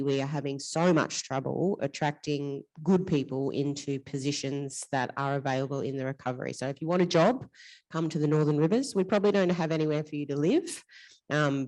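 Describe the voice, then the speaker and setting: Aussie woman, low big voice, frank community leader talking on a panel. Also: darkish tone, low-quality recording. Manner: frank, earnest, self-described big voice. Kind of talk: panel discussion answer. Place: conference hall. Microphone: handheld or stage microphone.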